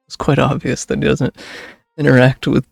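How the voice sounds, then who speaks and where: Casting: young man, game streamer, strongly creaky voice, casual commentary, dry sound with faint music behind. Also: mid-range voice, monotone, a little slow, dry recording.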